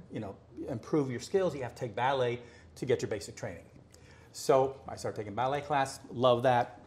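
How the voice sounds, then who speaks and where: A middle-aged man from Miami, talking in a relaxed, easygoing way as the guest in a home interview, recorded in a living room. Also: medium pitch. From Miami, United States. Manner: easygoing, gestures with hands while talking. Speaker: artist guest. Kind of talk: relaxed conversational storytelling.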